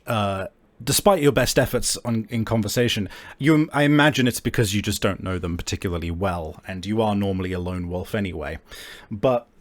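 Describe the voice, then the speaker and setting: Male tabletop host: fairly low voice, conversational and self-correcting, faint music underneath. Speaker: game master and show host. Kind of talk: casual tabletop game hosting and narration.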